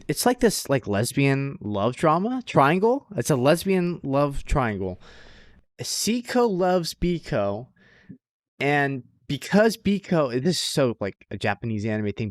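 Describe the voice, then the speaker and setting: A man's voice: medium pitch, no room sound, clean studio sound. A man co-hosting a podcast, chatting casually and enthusiastically with slangy, profane language and emphatic recommendations. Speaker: podcast co-host. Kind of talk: casual chat about anime.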